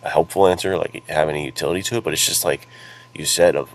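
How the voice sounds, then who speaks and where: A man's voice: a bass voice, steady tone, quick pace. A man co-hosting a podcast, chatting casually and earnestly in informal, enthusiastic language, with faint ambient noise behind him. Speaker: podcast co-host, author and publisher.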